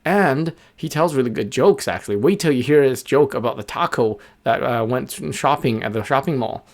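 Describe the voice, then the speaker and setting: A man's voice: mid-range voice, expressive, normal speed, a little mellow, close to an everyday voice. A man voicing a friendly, earnest little bird in a children's story, chatty and loyal. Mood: earnest, insistent. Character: friendly little male bird, cheerful, loyal, standing up for himself.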